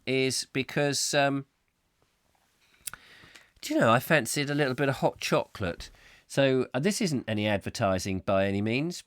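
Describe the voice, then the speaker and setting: A slightly hoarse man hosting a comic live chat show, rambling whimsically and mocking himself as he reads out viewer comments. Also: lowish voice, no room sound. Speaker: host.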